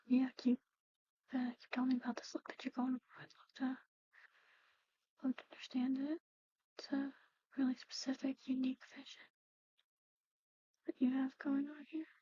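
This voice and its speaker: A woman doing an ASMR roleplay, speaking softly and often slipping into whispers, with a hesitant, halting delivery. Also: high-pitched, lo-fi sound, a little hiss or hum. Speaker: ASMR performer.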